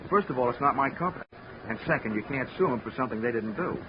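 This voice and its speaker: An American actor playing a wry, hard-boiled investigator with dry, deadpan wisecracks in 1940s radio drama style. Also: male voice, mid-range voice, fast talker, noisy background, natural voice. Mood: firm, dry. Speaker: lead actor and first-person narrator. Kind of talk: 1940s radio detective drama acting and narration. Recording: dull 1940s radio recording with music.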